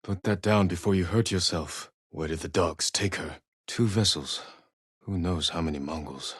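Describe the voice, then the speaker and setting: A stern, honorable young samurai warrior from a dramatic video game. His voice is deep and husky, close and dry. He speaks in terse, measured lines with restrained, weary resolve. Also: no room sound. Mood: grave, resolute. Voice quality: low, husky voice.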